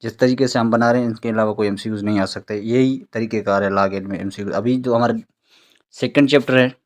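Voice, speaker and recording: A man with a Pakistani accent giving an informal recorded lecture as an exam-prep teacher, explaining point by point, repeating key words and reading English terms out haltingly. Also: lowish voice, steady tone.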